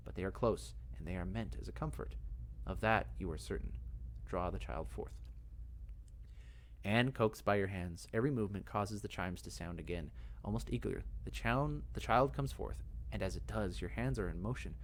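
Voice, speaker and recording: A man reading fantasy game text aloud as dramatic narration, in a measured, expressive storytelling manner. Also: on the low side, a little hiss or hum. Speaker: let's play host.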